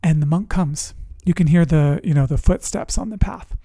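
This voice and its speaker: A middle-aged coach telling personal stories to camera in a conversational, expressive and deliberate way, with dramatic pauses and repetition. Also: mid-range voice, no echo, studio quality. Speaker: emotional resilience coach and show host.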